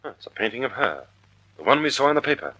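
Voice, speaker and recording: An actor playing a suave, resolute gentleman sleuth, calm amid danger, in a 1940s-style radio mystery. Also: medium pitch, quick pace, breathy edge, highs cut off, full character voice. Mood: brooding. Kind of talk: 1940s radio drama acting.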